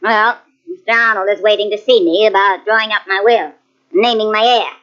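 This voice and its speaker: A woman's voice: mid-range voice, fairly quick, fairly bright tone, lightly acted. A woman playing a shrewd, suspicious old grandmother with a dry, pointed manner in radio drama. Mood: knowing, suspicious. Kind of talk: 1940s radio drama acting. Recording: dull, lo-fi old radio cassette.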